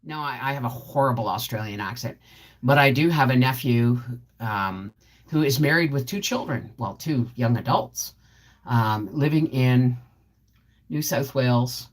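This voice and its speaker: A woman's voice: a bass voice, unhurried. A middle-aged woman chatting casually on a live stream, rambling and answering viewer comments as she goes. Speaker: homestead channel host.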